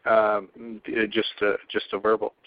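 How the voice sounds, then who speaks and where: A man from Pakistan hosting an interview, framing his questions haltingly with restarts and fillers. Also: lowish voice, monotone, dry recording. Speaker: host. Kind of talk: casual interview talk. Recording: dull lo-fi sound, dry.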